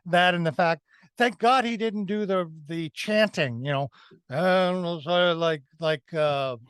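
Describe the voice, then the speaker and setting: A man's voice: high-pitched, takes it slowly, a bit bright, clean tone, no room sound, studio quality. A man giving a conversational film critique as a review panelist, emphatic and repeating phrases for effect.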